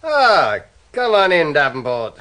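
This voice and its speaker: An actor playing a brisk, placating managing director in a radio comedy drama, businesslike in manner. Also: male voice, fairly high pitch, huge pitch swings, bright, forceful tone, a little hiss or hum, exaggerated voice.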